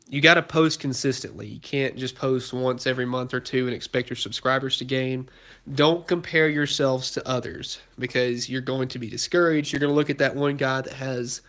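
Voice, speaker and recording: A man's voice: mid-range voice, fairly bright tone. A young man hosting a casual live stream from his garage, a bit congested and losing his voice, rambling with lots of fillers and restarts. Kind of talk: casual live stream, answering chat questions. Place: cluttered home garage with a project car. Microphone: phone held while filming.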